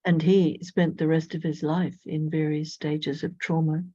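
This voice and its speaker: Slow, flat-voiced woman astrologer. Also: low-pitched, no room sound. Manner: thoughtful, measured, with frequent "you know". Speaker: astrologer guest. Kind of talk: reflective conversational interview. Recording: dull lo-fi audio.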